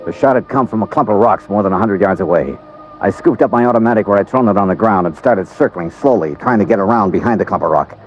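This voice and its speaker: An actor playing a tough, level-headed American investigator, clipped and hard-boiled, in a 1950s radio drama. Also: male voice, on the low side, quick pace, faint music behind, close to an everyday voice. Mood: tense narration. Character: tough, level-headed male private investigator narrating his case. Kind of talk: 1950s radio drama acting and first-person narration. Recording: muffled, hissy vintage radio recording.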